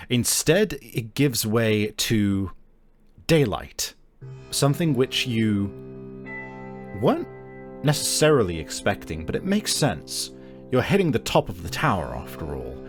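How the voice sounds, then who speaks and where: Slow, low-voiced male game master. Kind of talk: narrating a tabletop game.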